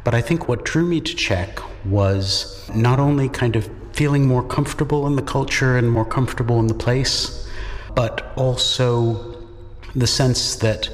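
A man's voice: medium pitch, a little slow. A middle-aged man, a native English speaker and university lecturer, giving thoughtful, articulate interview answers in a reflective, lightly self-deprecating way. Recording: echoey hall with long reverb.

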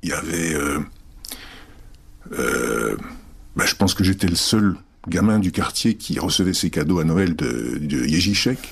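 A middle-aged man whose first language is Czech, answering interview questions reflectively with many pauses and hesitations. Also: a bass voice, animated, gravelly, slightly rough. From France. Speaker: guest.

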